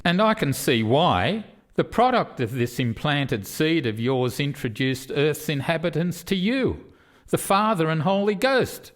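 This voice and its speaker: A man plays a challenging, sceptical alien observer in a radio drama. His slightly high voice rises and falls a lot, with a wry, pointed delivery. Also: big pitch swings, a little slow. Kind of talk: radio play dialogue.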